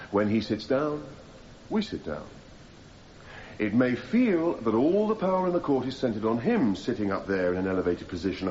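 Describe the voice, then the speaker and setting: Radio drama: male actor as impassioned defence counsel, rhetorical and measured, lively melody, muffled copy with light hiss. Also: medium pitch, natural voice. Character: impassioned male defence barrister addressing a jury. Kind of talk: radio drama courtroom speech. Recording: slightly dull, noisy radio broadcast copy.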